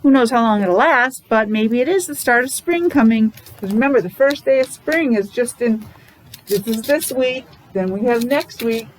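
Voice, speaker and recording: A woman's voice: on the high side, moderate pace, slight background noise, poor audio. A middle-aged woman teaching young children in an interactive morning lesson, using simple repeated phrases, questions and sounded-out letters. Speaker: preschool or special education teacher leading morning meeting.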